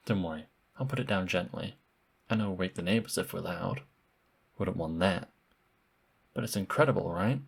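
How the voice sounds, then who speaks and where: A man's voice: fairly low pitch, creaky, slightly rough, exaggerated voice. A man voicing an obsessive vampire in an ASMR roleplay, polite and insinuating, with a possessive, menacing undertone. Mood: coolly boastful. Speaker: voice actor in audio roleplay.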